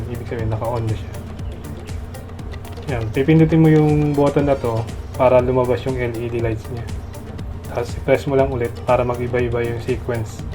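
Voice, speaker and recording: Music plays clearly behind a Filipino man's voice. He speaks at an average male pitch in a casual, instructional manner. He takes the listener through a product demonstration one step at a time.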